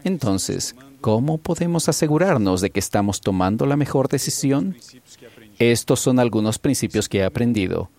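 A man delivering a formal church conference sermon in the words of an interpreter, in a measured way. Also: mid-range voice, expressive, creaky, darkish tone.

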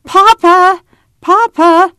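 A woman's voice: a little high, animated, unhurried, dry recording, clean studio sound, pitched above the performer's own voice. A woman voicing a brave, devout young pioneer girl in a children's story, earnest and trusting. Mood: calling out.

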